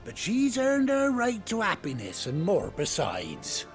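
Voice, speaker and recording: A cheerful, scatterbrained older English herder in a video game. His high voice swings wildly up and down as he rambles slowly. He is folksy and quick to poke fun at himself. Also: wildly swooping pitch, soft background music, exaggerated voice. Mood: folksy, rambling. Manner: folksy, rambling, self-deprecating. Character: cheerful, muddle-headed older male chocobo herder.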